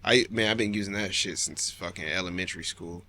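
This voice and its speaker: A man's voice: low voice, fairly quick, pushed and bright, a little hiss or hum. A young man talking casually and loosely on a podcast, full of slang, often laughing. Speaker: podcast guest, rapper. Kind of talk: casual chat.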